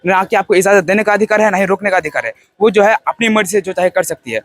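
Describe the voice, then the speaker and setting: A young man gives an informal film review in an earnest, halting way, often repeating his phrases. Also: high voice, a bit bright, no room sound. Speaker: film festival participant.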